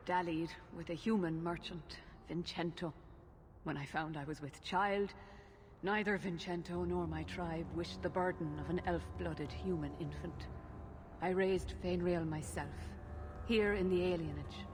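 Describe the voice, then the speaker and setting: A woman's voice: fairly low pitch, unhurried, slight background noise, close to an everyday voice. An actress playing an anxious elven mother who pleads earnestly for help finding her son, in a game cutscene.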